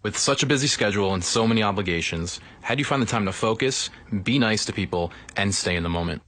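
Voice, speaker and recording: Low, bright-voiced man reading questions briskly. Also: animated, dry recording. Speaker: business development staffer, guest reader of questions. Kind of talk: reading listener questions aloud.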